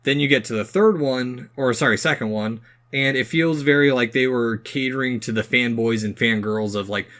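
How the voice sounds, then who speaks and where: Male podcast co-host, medium pitch, casual and opinionated, talks through his points in an explanatory way. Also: moderate pace, few pauses, a bit bright.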